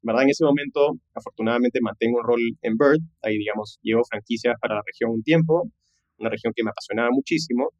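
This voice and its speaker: Fast-talking man, interview guest. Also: mid-range voice, no room sound. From Peru. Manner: peppers speech with English business jargon and tag questions. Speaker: podcast guest, tech entrepreneur. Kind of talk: conversational career storytelling in an interview.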